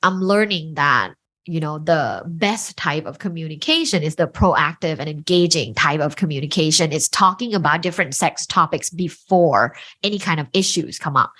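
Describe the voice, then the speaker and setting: A woman's voice: deep voice, expressive, moderate pace, fairly bright tone. A woman from Thailand whose first language is Thai, a guest telling stories in a casual interview. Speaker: guest, relationship researcher.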